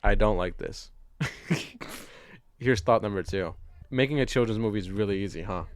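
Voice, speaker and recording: A man's voice: fairly low pitch, normal speed. A young man co-hosting a podcast, chatting casually about a film in a joking, teasing, sweary way. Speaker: podcast co-host. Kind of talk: casual movie review chat.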